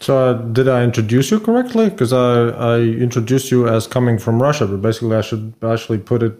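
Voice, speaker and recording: A man hosting a livestream interview, asking plain, relaxed questions. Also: medium pitch, a little fast. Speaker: livestream host. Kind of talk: casual interview.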